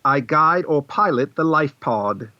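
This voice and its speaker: A man's voice: a little high, expressive, measured pace, no room sound, dull audio. A man playing a matter-of-fact female spaceship computer who recites things formally, in a comedy radio play. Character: female ship computer co-host, matter-of-fact life-pod AI.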